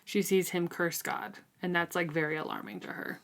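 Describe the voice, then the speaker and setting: A woman's voice: on the low side, steady tone, normal speed, creaky, fairly bright tone. A woman co-hosting a casual book discussion, talking informally and thoughtfully. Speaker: podcast co-host.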